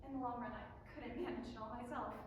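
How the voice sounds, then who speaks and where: A woman's voice: on the high side, fairly even intonation, fast, close to an everyday voice. A woman acting a timid, unhappily married younger woman in a staged drama reading, earnest and hesitant. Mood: earnest, uneasy. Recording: very echoey hall from far off, faint noise.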